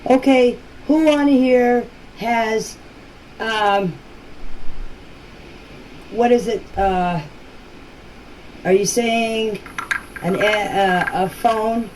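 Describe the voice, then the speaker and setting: Higher-voiced woman in noisy phone voice clips. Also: darkish tone. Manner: halting, repetitive explaining. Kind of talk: informal voice messages to a group chat.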